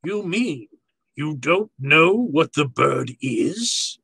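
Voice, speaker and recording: An actor playing a jovial, courtly, calculating fat crime boss in a radio drama, speaking floridly and with relish. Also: male voice, a little high, sing-song, drawn-out words, vocal fry, sharp tone, breathy edge, no room sound, full character voice. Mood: probing. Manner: florid, pompous, relishing his words. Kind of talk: old-time radio drama acting.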